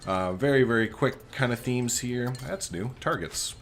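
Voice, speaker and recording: A man's voice: medium pitch, moderate pace. A man hosting a gaming video, rambling casually and thinking aloud as he plays. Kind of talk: casual game commentary.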